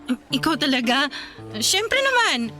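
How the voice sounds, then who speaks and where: Coy young Filipina sweetheart. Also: female voice, sits high, very animated, a little slow, bright, forceful tone, soft background music, full character voice. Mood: teasing. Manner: coy, teasing conversational delivery. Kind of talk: Filipino radio romance drama acting.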